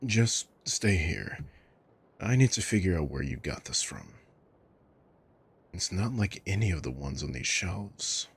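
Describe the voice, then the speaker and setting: A man playing a coolly mocking, possessive villain in an ASMR roleplay, with a husky, breathy voice and a deliberate, menacing drawl. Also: sits low, sing-song, slight background noise, full character voice. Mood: pensive. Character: sadistic male villain, coolly mocking, possessive, taunting his captive. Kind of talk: ASMR roleplay audio drama.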